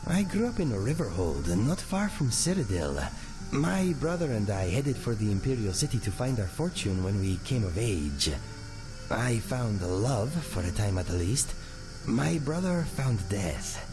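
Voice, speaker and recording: An actor playing a friendly, reflective cat-man companion in a fantasy video game, speaking in measured, wistful, expressive phrases. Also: fairly low pitch, rough recording. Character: male cat-folk adventurer companion, wry, reflective, friendly. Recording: music under the voice.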